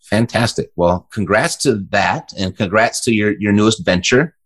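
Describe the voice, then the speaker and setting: A man's voice: fairly low pitch, moderate pace. A man hosting a real estate podcast, promoting offers and interviewing a guest in an upbeat, friendly way. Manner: upbeat, friendly salesman delivery. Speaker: podcast host.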